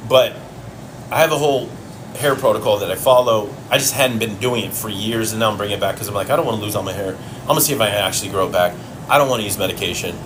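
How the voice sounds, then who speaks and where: Blunt, low-voiced man from Chicago. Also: a bit bright, slight background noise, poor audio. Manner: blunt, profane, talks while eating. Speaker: fitness livestream host. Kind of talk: casual livestream Q and A. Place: home.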